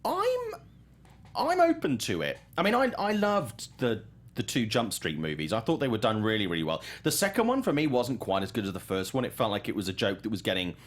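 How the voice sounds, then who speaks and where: A man's voice: mid-range voice, huge pitch swings, fairly quick. A man hosting a talk show, giving conversational film commentary and thinking aloud as he goes.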